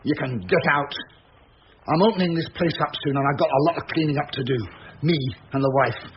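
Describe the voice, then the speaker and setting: An actor playing an embittered, defiant pub landlord in a British radio drama, blunt and sarcastic. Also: male voice, on the high side, brisk, close to an everyday voice. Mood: angry, weary. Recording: muffled radio recording, faint noise.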